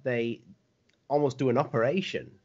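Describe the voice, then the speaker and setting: A man's voice: mid-range voice, normal speed, husky. A man in his thirties hosts a casual interview from home over a video call, asking loose, rambling questions. His voice sits in a middle range. The audio is muffled and lo-fi. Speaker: host. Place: home room indoors. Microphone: webcam on video call.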